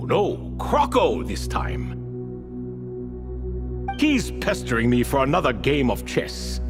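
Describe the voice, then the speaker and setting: A proud, wry figure from a video game, speaking slowly with very sweeping rises and falls in pitch. He sounds measured and dry, with a boastful edge, and game music plays clearly behind him. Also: full character voice. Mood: smug.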